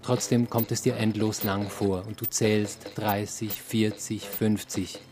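A man narrating a radio play in the second person, telling the story in a measured, descriptive way. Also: fairly low pitch, flat delivery. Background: music.

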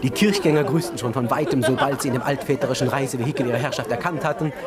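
A man narrating a literary radio play in an expressive, dramatic storytelling style. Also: medium pitch, fast talker, lightly acted. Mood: festive, vivid. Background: music.

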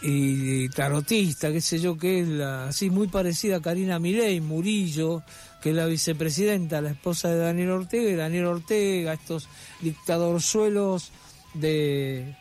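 Male radio host, rambling casual commentary, slightly high voice, light music bed. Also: normal speed, a little mellow. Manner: rambling, many fillers and restarts.

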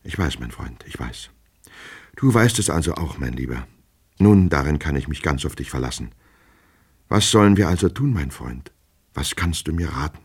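A man voicing an anxious, flustered gentleman from a storybook, agitated and repeating himself as he despairs. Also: extremely low pitch, expressive, normal speed, husky, exaggerated voice. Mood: pleading, hurried. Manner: repetitive, stammering, agitated, talking to himself. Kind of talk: dramatic audiobook character reading.

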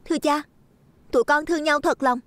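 A woman's voice: high-pitched, steady tone, fairly quick, dry recording, studio quality, natural voice. A woman voicing a defiant young daughter in an audio story, crying out passionately. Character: defiant, fearless young daughter in forbidden love.

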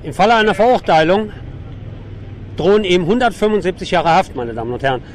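A man's voice: high-pitched, animated, a little fast. A man giving an on-location radio report from a protest outdoors, with an opinionated, sardonic edge. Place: outdoors on a city avenue at a demonstration. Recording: noisy city street with traffic. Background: clear street and traffic noise.

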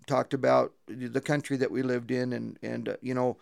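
An American man recalls events as an interviewee in a halting, plain-spoken way. His medium-pitched voice is full of creak yet smooth and resonant, recorded very dry. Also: little pitch movement, a little mellow, no echo. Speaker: local eyewitness interviewee. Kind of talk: unscripted interview recollection.

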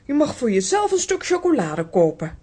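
A woman playing a brisk, bossy but caring aunt in a children's audio drama, giving instructions. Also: high-pitched, sing-song, dark tone, slightly rough, full character voice.

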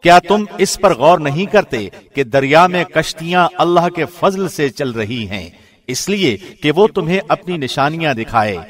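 A man reads a scripture translation in a measured, formal and solemn way. His voice sits slightly high for a man. The studio recording is clean and close. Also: expressive. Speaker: translation reader. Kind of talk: scripted narration. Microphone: studio mic.

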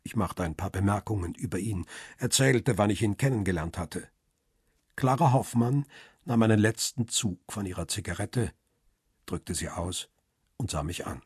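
An actor playing a paparazzo who narrates his own story in a radio crime play, measured, observant and descriptive. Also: lowish voice, lively intonation, darkish tone, breathy edge, no echo, studio quality. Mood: observant, calm. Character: world-weary male paparazzo recounting his story, coolly observant. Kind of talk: scripted first-person radio drama narration.

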